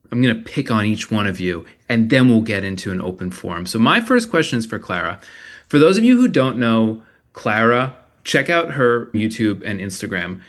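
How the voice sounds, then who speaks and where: A man moderating an online panel, introducing guests and asking long, enthusiastic multi-part questions. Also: lowish voice.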